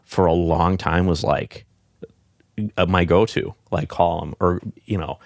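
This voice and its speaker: A middle-aged man with a deep voice, a podcast guest talking in a casual, reflective way, rambling with many fillers and restarts. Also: flat delivery, measured pace, vocal fry, darkish tone, dry recording, clean studio sound.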